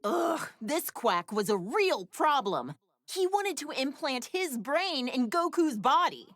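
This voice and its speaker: A woman's voice: high-pitched, big pitch swings, takes it slowly, a bit bright, no echo, clean studio sound, heavily acted. An actress voicing a clever, sassy young woman scientist in an anime game, with a wry, chatty, slightly mischievous manner. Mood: sassy, teasing. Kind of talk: scripted game voice lines.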